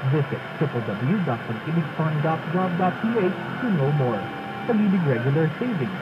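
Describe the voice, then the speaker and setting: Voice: mid-range voice, very animated, normal speed. A commercial announcer reading a scripted radio advertisement. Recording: noisy, dull AM radio.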